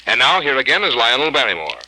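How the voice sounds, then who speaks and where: An American man working as a radio announcer, reading scripted sponsor messages in 1950s style with a husky voice. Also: medium pitch, sing-song, fast talker, pushed and bright, low-quality recording. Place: radio studio. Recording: old 1950s radio broadcast, music behind.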